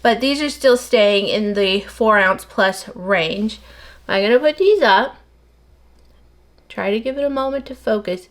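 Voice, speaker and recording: A middle-aged woman with a slightly nasal voice chats in a rambling, casual way while she works. Her words run on quickly, as if she barely pauses to breathe. Her pitch sits in a middle range. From United States. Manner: rambling, talks fast without pausing for breath. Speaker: soap maker. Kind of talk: casual chat while working. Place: home soap workshop.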